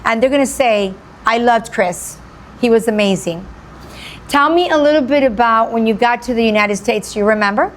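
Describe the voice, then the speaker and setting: Effusive woman host, a bit high. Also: moderate pace, slight background noise. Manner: effusive, repeats phrases for emphasis. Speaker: radio show host. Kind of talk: enthusiastic interview.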